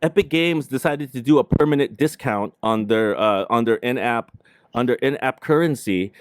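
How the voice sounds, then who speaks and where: A man's voice: medium pitch, normal speed, clean tone, no room sound, studio quality. A man hosting a casual livestream chat in an informal, slangy way. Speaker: host of a video game livestream show.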